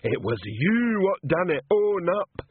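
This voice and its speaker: A man voicing a dim, bossy troll sergeant who shouts bluntly at everyone. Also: high-pitched, big pitch swings, slow pace, slightly rough, no room sound, pitched above the performer's own voice, full character voice. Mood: bellowing. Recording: phone-like narrow band, dry.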